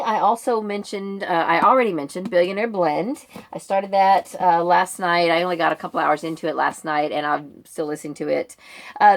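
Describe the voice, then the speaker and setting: A middle-aged American woman giving a casual, conversational reading update to viewers in an informal, rambling way. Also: medium pitch. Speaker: book vlogger and book club member.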